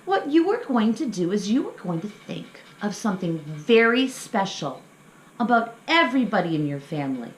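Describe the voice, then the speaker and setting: Echoey, lively woman teaching children. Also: mid-range voice, normal speed. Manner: simple, encouraging explanations aimed at young children. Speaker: children's story time host. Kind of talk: children's craft lesson, talking to kids. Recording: echoey room with faint background noise.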